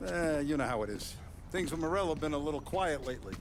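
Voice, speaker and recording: A man's voice: fairly high pitch, animated, fast, noisy background. A swooping, fatherly mob boss. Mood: genial. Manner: paternal, peppers talk with Italian words. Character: expansive older male mob boss, fatherly but watchful. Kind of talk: video game cutscene acting. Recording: background music under the voice.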